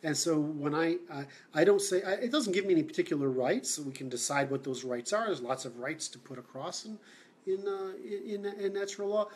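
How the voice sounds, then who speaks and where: A man from Seattle hosting a livestream, answering comments in a halting, blunt way with many fillers and restarts. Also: fairly high pitch, animated. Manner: blunt, opinionated. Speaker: livestream host.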